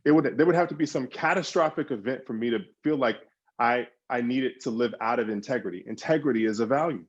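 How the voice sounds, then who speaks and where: A man's voice: mid-range voice, fast, husky, muffled recording. A man talking as a guest on a podcast, answering in emphatic bursts with repeated words and restarts. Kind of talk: conversational interview answers.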